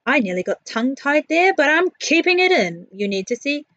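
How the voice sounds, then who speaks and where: A woman's voice: high voice, big pitch swings, brisk, fairly bright tone, muffled recording. A woman with a New Zealand accent giving a casual, chatty voice-over for a gaming build vlog, candid and self-deprecating. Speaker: video creator, narrator.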